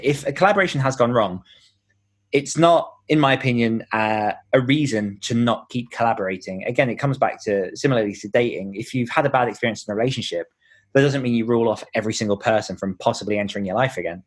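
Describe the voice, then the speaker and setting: A man with a British accent speaks at an average pitch. He explains things informally, as a guest does in a relaxed podcast conversation. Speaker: author and podcaster as interview guest.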